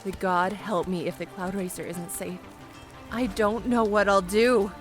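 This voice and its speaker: An actress plays a worried, exhausted woman adventurer in a fantasy audio drama. She talks quietly at a medium pitch, over light music. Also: steady tone, unhurried, lightly acted. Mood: weary, worried.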